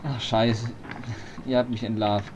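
A man's voice: fairly low pitch, level tone, a little slow, background noise, close to an everyday voice. A young man playing a sly, flippant boy detective in a tongue-in-cheek amateur radio play. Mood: dismayed.

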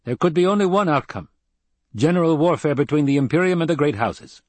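A bearded desert planetologist, blunt and wary, who answers in curt, clipped words, as a male narrator puts him on. The voice is husky and dry, set close to the microphone. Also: on the high side, expressive, fairly quick, no room sound, pitched above the performer's own voice. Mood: guarded. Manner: curt, terse replies.

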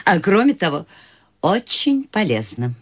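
A woman's voice: lowish voice, big pitch swings, a little slow. An actress playing a blithe, eccentric old woman in a radio play, sprightly and breezy. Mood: cheerful, dotty. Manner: delighted, breezy, scatterbrained.

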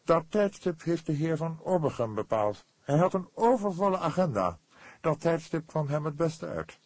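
A man's voice: a little high, sing-song, moderate pace, slightly rough, dry recording, pitched above the performer's own voice, exaggerated voice. A man voicing a pompous, precise, easily indignant book publisher in an audiobook, speaking in an emphatic, formal, affected way. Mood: stiff, subdued.

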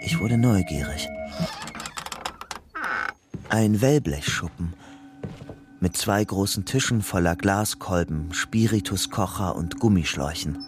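A man's voice: deep voice, lively intonation, slow, pauses often, over music. An actor playing a young ex-convict father-to-be in a radio crime drama, terse and hard-boiled, partly narrating his own story. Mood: grim narrating.

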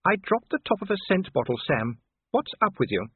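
A man voicing a firm, commanding aristocratic wife in an audiobook, brisk and imperious. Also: high voice, sing-song, slightly rough, dry recording, phone-quality sound, voice pushed up, heavily acted. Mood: brisk, exasperated.

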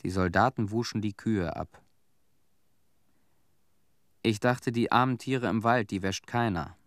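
An actor in a radio drama playing a somber young Chernobyl survivor who recalls childhood in measured, plain sentences. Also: male voice, lowish voice, no room sound, studio quality, lightly acted. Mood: somber recollection.

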